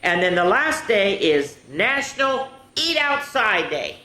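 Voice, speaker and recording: A woman's voice: mid-range voice, very animated, slow pace, sharp tone. A senior woman hosting a children's story time, chatty and instructive, often putting questions to the kids. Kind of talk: children's storytelling and activity talk. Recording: echoey room with faint noise.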